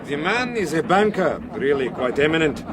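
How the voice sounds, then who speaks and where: An actor playing a polite, assured doctor, courteous and precise, in a radio drama. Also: male voice, a little high, big pitch swings, normal speed, a crowd in the background. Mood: smooth, assured. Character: polite, formal doctor travelling with a patient. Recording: clear train noise behind.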